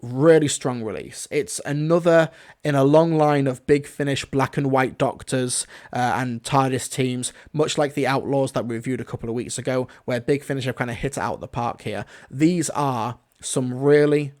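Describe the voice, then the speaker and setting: A man's voice: mid-range voice, steady tone, normal speed, a little mellow. A man hosting a casual, off-the-cuff review stream, talking in an enthusiastic, rambling way. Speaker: reviewer, livestream host.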